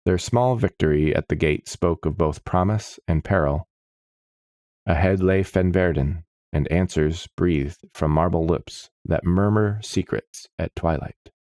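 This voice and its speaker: Deep voiced guy reading a fantasy audiobook, measured, descriptive, really dry recording. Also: darkish tone, no echo. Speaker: audiobook narrator. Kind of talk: scripted fantasy story narration.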